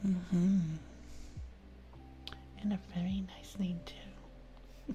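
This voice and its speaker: Woman asmr streamer, real slow n soft, whispers a lot, breathy, sweet pet names for chat, bit of music behind her. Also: fairly high pitch, highs cut off. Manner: affectionate, intimate, uses pet names. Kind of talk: ASMR, casual chat with live viewers.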